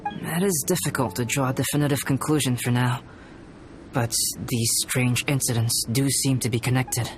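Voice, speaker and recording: A man playing a composed, analytical young alchemist knight in a video game, speaking in a measured, formal, precise way. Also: medium pitch, fairly even intonation, lightly acted. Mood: calm, analytical. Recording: music behind the voice.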